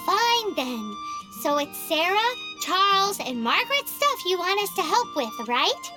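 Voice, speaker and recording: Tiny video game fairy, extremely high squeaky voice, childlike, cheeky, animated, loud music behind. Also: female voice, slow pace, heavily acted, rough recording. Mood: grudging, sceptical. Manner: speaks of self in third person, squeaky, emphatic. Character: tiny excitable childlike female fairy companion, cheeky and bossy. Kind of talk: video game character acting.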